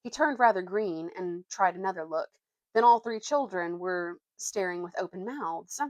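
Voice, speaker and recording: A woman reading a children's adventure book aloud as an expressive storyteller. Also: lowish voice, moderate pace, dry recording.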